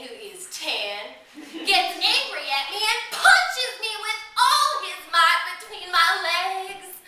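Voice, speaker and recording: A woman performing a dramatic stage monologue as a woman reliving girlhood memories, childlike and candid, with emphatic delivery, drawn-out words and pauses. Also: very high voice, sharp tone, full character voice. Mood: hurt, intense. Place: small theatre stage. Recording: echoey theatre, recorded from a distance.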